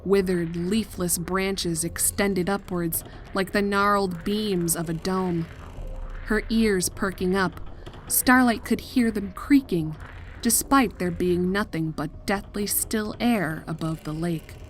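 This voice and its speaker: Slow, measured woman narrating horror fiction. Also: lowish voice, slight background noise. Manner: measured, deliberate. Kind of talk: scripted dramatic horror story narration.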